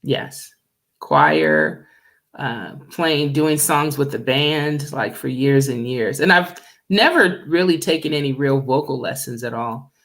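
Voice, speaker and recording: A middle-aged woman singer with a deep, low voice, chatting easily as a radio guest and laughing often. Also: animated, slow, a bit bright. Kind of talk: casual radio interview chat.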